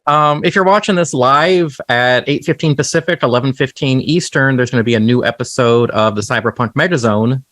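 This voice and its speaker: A man's voice: mid-range voice, fairly quick, few pauses, a bit bright, no echo, studio quality. A man guest in a casual podcast chat, giving brief replies and matter-of-fact plugs. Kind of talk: casual chat, plugging shows.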